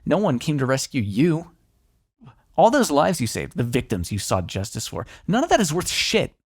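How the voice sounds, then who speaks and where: Male vampire, played by a man for ASMR roleplay: sardonic, secretly fond, very animated pitch, creaky low edge. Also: moderate pace, dry recording, studio quality. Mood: frustrated, bitter. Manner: sardonic, conversational, teasing, with sighs and swearing. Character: smug, teasing male vampire, wry and grudgingly fond. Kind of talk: intimate ASMR roleplay monologue.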